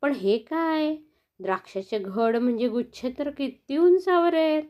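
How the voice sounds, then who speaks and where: A woman's voice: high voice, lively intonation, a little slow, highs cut off. A woman telling a bedtime story to children in a sing-song, coaxing manner, often asking them questions.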